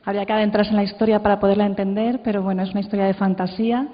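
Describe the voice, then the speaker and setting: A woman's voice: medium pitch, level tone, racing. A woman giving an informal conference presentation, introducing and commenting on audio clips. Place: conference hall. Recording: echoey hall, muffled sound.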